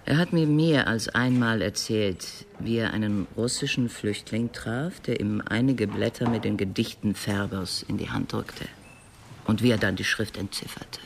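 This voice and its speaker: An actor playing a thoughtful literary man in a radio drama, explaining in a deliberate, reflective way with a creaky voice. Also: fairly low pitch. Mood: reflective, puzzled. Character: thoughtful, reflective literary acquaintance of a murdered professor. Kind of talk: German radio drama acting. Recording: faint background noise.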